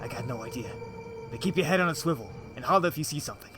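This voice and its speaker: A man playing a short-tempered mob lieutenant in an audio drama, gruff, scornful and bossy. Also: medium pitch, lively intonation, very fast, fairly bright tone, faint music behind, close to an everyday voice. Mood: alarmed, angry.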